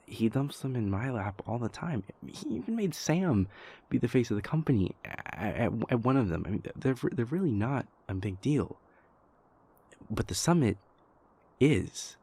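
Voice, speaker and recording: A man playing a stressed young vampire prince confiding in his lover in an intimate, halting audio roleplay monologue, with a husky, gravelly voice. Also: medium pitch, sing-song, mellow. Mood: exasperated, incredulous. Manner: halting, hesitant, exasperated, conversational.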